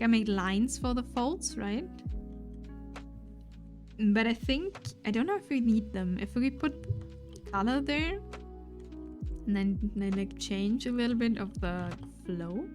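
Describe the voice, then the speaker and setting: A woman's voice: on the high side, expressive, unhurried. A young woman whose first language is German, livestreaming and chatting casually while she draws, thinking aloud with fillers and restarts. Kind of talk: casual chat while drawing. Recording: faint lofi music underneath.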